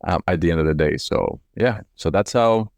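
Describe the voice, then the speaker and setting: A man from Colombia in his forties speaks in a deep voice, with very little room sound. He tells personal stories in a casual, reflective interview style, sometimes repeating words as he finds the next thought. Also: moderate pace, gravelly, no room sound, studio quality. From Cali, Colombia. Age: 40s. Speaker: company CEO being interviewed. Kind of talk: casual autobiographical storytelling in an interview.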